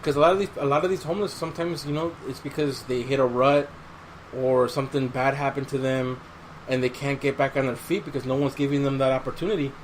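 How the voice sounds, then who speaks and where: Male podcast host, mid-pitched, relaxed chat style with storytelling, slight noise behind the voice. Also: fast, rough recording. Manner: conversational, recounting stories, says dude. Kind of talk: casual chat. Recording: clear background noise.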